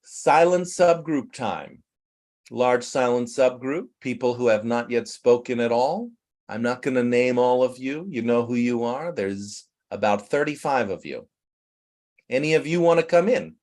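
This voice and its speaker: A man teaching English to a group on a video call, lively and joking. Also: medium pitch, a little slow. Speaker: English teacher leading an online class.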